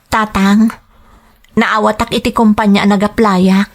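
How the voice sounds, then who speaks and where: A woman's voice: mid-range voice, level tone, unhurried, natural voice. A woman playing a dutiful but determined young working daughter in a radio drama, earnest and pleading, with an Ilocano Filipino accent.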